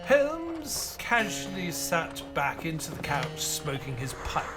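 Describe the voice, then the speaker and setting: Earnest Victorian gentleman companion, fairly high voice, very lively intonation, formal audio drama delivery. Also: over loud music, heavily acted. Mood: grave narrating. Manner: formal, measured storytelling. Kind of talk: audio drama acting and scripted narration.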